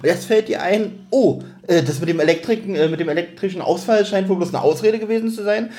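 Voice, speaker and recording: A man's voice: high-pitched, brisk, darkish tone. A man co-hosting a podcast in casual, joking chat, amused and poking fun at a story. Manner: amused, mocking the plot. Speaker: podcast co-host.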